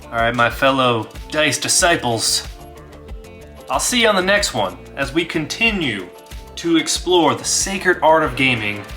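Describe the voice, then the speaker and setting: A man's voice: medium pitch, very animated, a little slow, bright, forceful tone, faint music behind. A man who hosts a gaming channel, talking to his audience and telling stories of solo play. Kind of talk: dramatized horror story narration and casual review.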